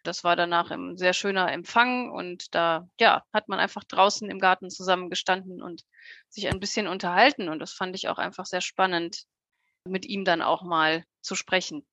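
A woman's voice: fairly low pitch, steady tone, moderate pace, pushed and bright, dry recording. A woman giving a casual, conversational account as a guest on a podcast. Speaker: podcast interviewee.

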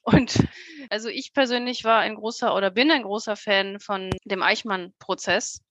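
A woman's voice: mid-range voice, fairly even intonation, normal speed, fairly bright tone, dry recording. A young woman talking conversationally as an interview guest, in a talkative, emphatic way. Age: young adult. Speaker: radio-trained journalist, jury member as podcast guest. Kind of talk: conversational interview, opinion and anecdote.

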